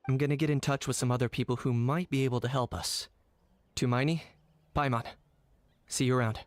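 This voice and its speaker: A man voicing a terse young mercenary hunter in a video game who talks in a deadpan way. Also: mid-range voice, brisk, a little hiss or hum, lightly acted. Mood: businesslike. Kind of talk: video game cutscene voice acting.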